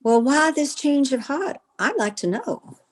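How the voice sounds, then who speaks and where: A woman's voice: high-pitched, animated, a little slow. Coquettish belle acted by a woman. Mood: affronted. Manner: flirtatious, gushing, genteel. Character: coquettish, flattering Southern belle lady. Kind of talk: old-time radio comedy acting.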